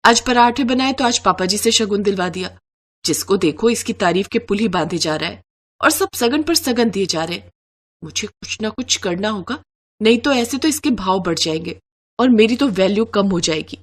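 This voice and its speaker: A woman's voice: mid-range voice, lively intonation, normal speed, close to an everyday voice. A woman voicing a jealous, scheming elder sister-in-law who talks in a curt, resentful mutter.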